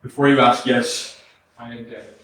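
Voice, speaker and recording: A man's voice: mid-range voice, level tone, normal speed, fairly bright tone, natural voice. A man in a staged play reading as the ghost of a brother who died young, speaking earnest, poetic monologue in a grieving, tender way. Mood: solemn, consoling. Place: small arts centre stage, recorded from a distance. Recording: echoey theatre hall, distant mic, faint noise.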